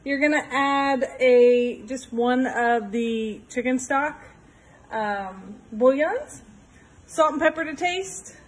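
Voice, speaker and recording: A woman's voice: on the high side, low-quality recording. A woman in her thirties, talking casually and enthusiastically while showing a home cooking recipe in her kitchen, filmed on a phone. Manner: chatty and enthusiastic, upbeat asides. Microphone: phone held close, later propped farther off.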